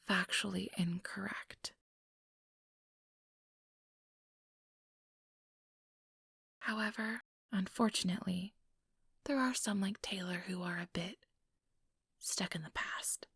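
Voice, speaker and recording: A woman's voice: mid-range voice, steady tone, fairly bright tone, no room sound, clean studio sound, exaggerated voice. Woman playing whispering, smugly persuasive vampire schemer. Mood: smooth persuasion. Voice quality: breathy whisper. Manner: measured, condescending, persuasive. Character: ambitious, smugly manipulative female vampire recruiter. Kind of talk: ASMR role-play, whispered and close-up. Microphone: close ASMR microphone.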